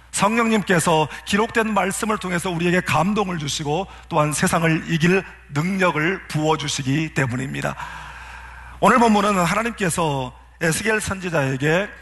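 A pastor delivers a sermon in a notably high voice for a man. He speaks earnestly and keeps turning to his listeners with rhetorical questions. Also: fairly bright tone.

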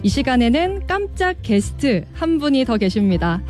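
Lively female radio host, slightly high voice. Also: normal speed, soft background music. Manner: bright, polished announcer delivery. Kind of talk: live holiday radio hosting.

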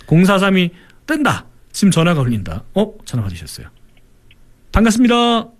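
A male radio host with a fairly high voice that swings up and down a lot. He chats with callers and co-hosts in an easygoing way and laughs often. He is heard through a studio microphone. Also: a little fast. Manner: animated, laughs often, chatty. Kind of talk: lively call-in radio chat.

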